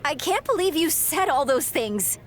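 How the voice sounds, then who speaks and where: A woman playing an earnest, dramatic young wrestler friend in a game cutscene, expressive throughout. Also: high-pitched, normal speed. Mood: dismayed. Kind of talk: video game cutscene acting.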